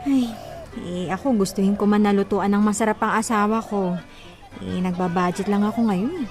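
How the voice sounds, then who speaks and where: A woman's voice: mid-range voice, normal speed, a little mellow, soft background music, natural voice. A woman playing a weary, long-suffering wife in her thirties, meek and evasive, in a Filipino radio drama.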